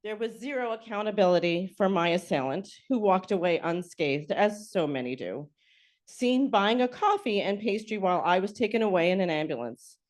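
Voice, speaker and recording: A woman's voice: mid-range voice, expressive, moderate pace, darkish tone. Woman giving earnest testimony at a meeting. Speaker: public speaker at community board meeting. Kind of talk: prepared public comment. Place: meeting hall.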